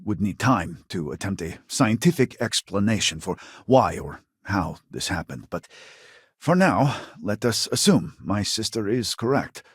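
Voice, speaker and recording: An actor playing a scholarly, earnest and weary researcher in a video game, speaking formally and precisely with a husky voice. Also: male voice, lowish voice, big pitch swings, no room sound, clean studio sound, exaggerated voice. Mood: measured, formal. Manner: formal, measured, articulate, precise wording. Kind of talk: video game character dialogue.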